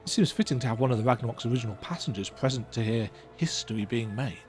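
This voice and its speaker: A man's voice: mid-range voice, fast, a little mellow, faint music behind, pitched below the performer's own voice, natural voice. A man voicing an eager, polite bespectacled engineer who speaks in an effusive, formal way. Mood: excited. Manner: effusive, formal, breathless delight.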